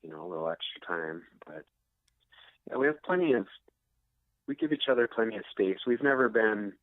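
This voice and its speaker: An American man speaks as a guest in a casual interview. His voice sits low and the sound is dry. He talks reflectively, often pausing and restarting as he looks for the right words. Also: animated, no room sound, narrow, tinny audio. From Minnesota, United States. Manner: reflective, halting, searching for words.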